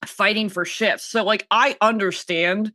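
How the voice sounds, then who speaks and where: Bright-voiced woman chatting casually. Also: mid-range voice, fairly even intonation, a little slow, no echo. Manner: informal, self-quoting storytelling. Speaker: podcast guest, podcaster and filmmaker. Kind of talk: casual chat, personal anecdotes.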